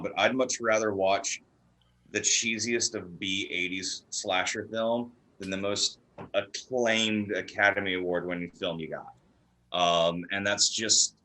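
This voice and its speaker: A man's voice: fairly low pitch, sharp tone, poor audio. A man chatting casually as a podcast guest, talking in an informal, emphatic way like an eager fan. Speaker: podcast guest, horror writer and performer. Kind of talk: casual chat.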